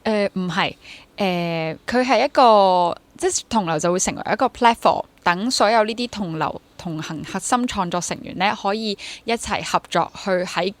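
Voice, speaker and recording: A woman's voice: medium pitch, normal speed. A woman in her twenties with a Hong Kong accent, talking conversationally as an interview guest and dropping in English words. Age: 20s. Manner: mixes English words into Cantonese. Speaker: interview guest, freelance stage actor. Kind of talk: conversational interview, sharing personal experience.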